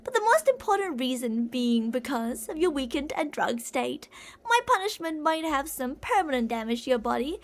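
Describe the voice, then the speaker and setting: A woman's voice: sits high, big pitch swings, normal speed. A woman playing an obsessive older female kidnapper in an ASMR roleplay, cooing and possessive, doting yet menacing, with theatrical delivery. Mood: calm, threatening. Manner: cooing, possessive, theatrical, with pet names and whispered phrases.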